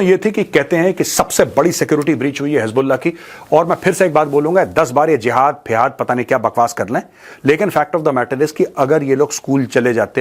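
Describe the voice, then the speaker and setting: An Indian man hosting informal news commentary, speaking in a blunt, colloquial, mocking way and switching into Indian-accented English. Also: mid-range voice. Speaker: commentator and show host.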